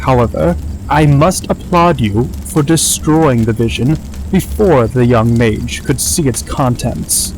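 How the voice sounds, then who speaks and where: A man's voice: medium pitch, a little mellow, natural voice. Haughty young dragon spirit played by a man, noisy recording. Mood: stern, disdainful. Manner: formal, measured, condescending. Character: spectral young dragon brother, haughty, formal, disdainful. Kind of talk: audio drama acting.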